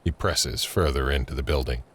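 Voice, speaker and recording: A man's voice: a bass voice, normal speed. A man reading scripted, screenplay-like narration for an audio drama. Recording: faint ambient noise under narration.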